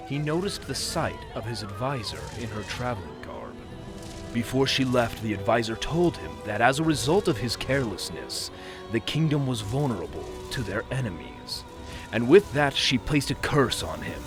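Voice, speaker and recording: Young Viking prince, male actor: animated storybook teller, good-natured, theatrical pauses, easily flustered, music underneath. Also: medium pitch. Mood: solemn storytelling. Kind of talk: scripted fairy-tale storytelling. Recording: music behind the voice.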